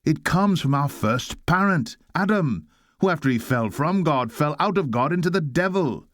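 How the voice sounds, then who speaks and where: A man's voice: a little high, animated, moderate pace, no echo, clean studio sound. A man reading an old sermon aloud in a solemn, oratorical style, exhorting listeners with weighty rhetorical delivery. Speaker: reader of a historic sermon.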